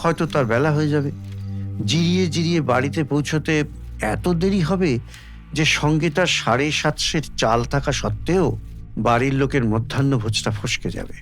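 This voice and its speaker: A man reading literary fiction as dramatic audiobook narration, measured, with deliberate pauses between phrases. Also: on the high side, soft background music. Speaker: story reader.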